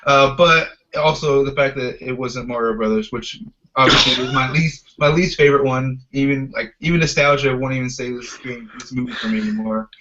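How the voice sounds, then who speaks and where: A man's voice: mid-range voice, fairly even intonation, brisk, bright, forceful tone, slight background noise. Casual man podcasting, muffled audio. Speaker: podcast host. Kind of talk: casual chat about video game movies.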